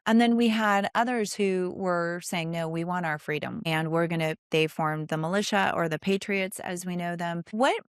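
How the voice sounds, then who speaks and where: A woman's voice: lowish voice, fairly even intonation, moderate pace, few pauses, clear, resonant tone, no echo, studio quality. A woman hosting a conversational interview, speaking earnestly and affirming her guest. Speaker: podcast host interviewing a guest.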